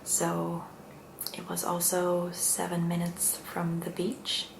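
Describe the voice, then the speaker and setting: A Dutch woman with a Dutch accent, speaking English as a second language in a gentle, hushed, soft-spoken ASMR style as she hosts. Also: fairly low pitch, completely flat delivery, takes it slowly, gravelly, roomy sound, noisy background. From Netherlands. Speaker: ASMR video host.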